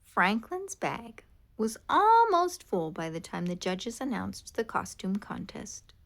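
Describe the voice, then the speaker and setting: A woman reads a children's picture book aloud, expressive and animated. She takes her time, letting her voice rise and fall widely. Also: mid-range voice, slow, creaky. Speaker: storybook reader. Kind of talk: children's read-aloud narration.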